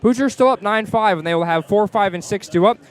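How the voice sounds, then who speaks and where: Guy doing baseball play by play on radio, voice a bit on the high side, calling it live at the ballpark. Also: fairly quick. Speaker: play-by-play sports announcer. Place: outdoors at a baseball stadium.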